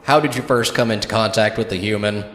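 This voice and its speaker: A man's voice: on the low side, fast, fairly bright tone, natural voice. A man playing a curt, impatient official interrogator in an audio drama, speaking in formal, clipped phrases in an echoing hall. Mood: stern, official. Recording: echoey hall with long reverb.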